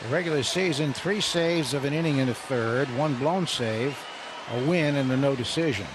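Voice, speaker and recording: A man's voice: mid-range voice, moderate pace, noisy background. A man giving analysis and statistics as a color commentator on a live baseball radio broadcast. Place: stadium broadcast booth. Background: loud ballpark ambience.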